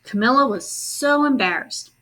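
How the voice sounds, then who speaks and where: Casting: woman storyteller-teacher, slightly high voice, expressive read-aloud for kids with instructive asides. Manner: instructive, pauses to ask and explain. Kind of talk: expressive children's story read-aloud with questions to young listeners.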